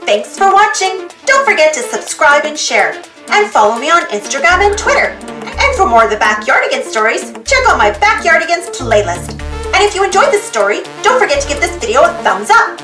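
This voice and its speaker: A woman reading a children's storybook aloud in an expressive, theatrical style as the host. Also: high voice, brisk. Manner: expressive, theatrical, exaggerated delivery. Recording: roomy echo with music behind. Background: music.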